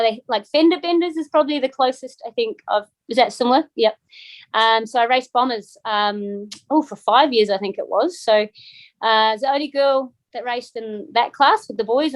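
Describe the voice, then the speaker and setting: A middle-aged woman with a New Zealand accent talks freely as an interview guest. She fills her talk with ums and restarts, at an ordinary middling pitch. Her voice sounds dry and close over a video call. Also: no echo, low-quality recording. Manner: chatty, many ums and restarts. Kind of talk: casual interview chat.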